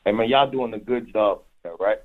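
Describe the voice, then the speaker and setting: A man's voice: fairly low pitch, normal speed. A man calling in to a radio show, giving his opinion casually. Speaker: radio call-in listener. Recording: muffled tinny phone line.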